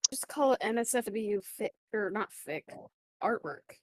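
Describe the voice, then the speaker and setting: A woman's voice: mid-range voice, expressive, moderate pace, dry recording. A woman co-hosting a casual podcast, talking in a chatty, blunt way. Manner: chatty, blunt, fan-culture talk. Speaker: podcast co-host.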